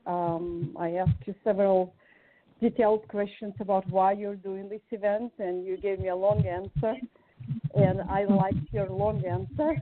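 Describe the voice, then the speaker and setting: A woman from Ukraine speaking as a guest on a group call, sharing personal reflections in an earnest, hesitant way with pauses between short phrases. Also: mid-range voice, fairly even intonation, a little hiss or hum. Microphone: phone call. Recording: tinny, band-limited phone line.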